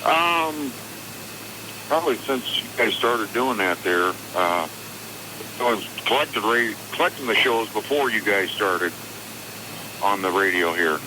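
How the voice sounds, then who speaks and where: A man in his forties calling a radio show, chatting casually in a hesitant, halting way. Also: fairly low pitch, expressive. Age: 40s. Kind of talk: casual phone-in chat. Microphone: telephone. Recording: noisy phone call on radio.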